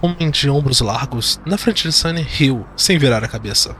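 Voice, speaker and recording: A man's voice: medium pitch, animated, normal speed, fairly bright tone, slight background noise. A man with a Brazilian accent giving dramatic scripted narration of a fantasy audio drama. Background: background music.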